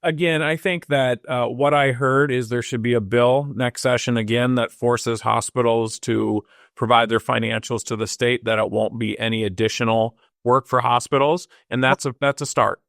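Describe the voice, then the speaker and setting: Casting: male co-host around fifty, easygoing political chat, mid-pitched, dry close mic. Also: fairly even intonation, moderate pace, gravelly, few pauses, a bit bright, no echo. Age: 50s. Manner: conversational, agreeable, frequent affirmations. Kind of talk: political talk show discussion.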